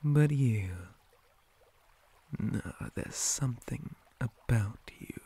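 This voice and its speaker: Man voicing a slow, breathy, menacing spider monster. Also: medium pitch, exaggerated voice. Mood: cold, possessive. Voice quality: breathy, often dropping to a whisper. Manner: slow, deliberate, softly taunting, formal wording. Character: menacing possessive male spider monster, silkily cruel. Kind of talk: ASMR roleplay monologue.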